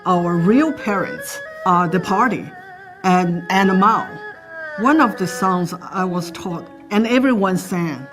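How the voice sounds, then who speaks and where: Woman, Chinese accent, slow deliberate speech, music underneath. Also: lowish voice, animated. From China. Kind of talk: documentary interview.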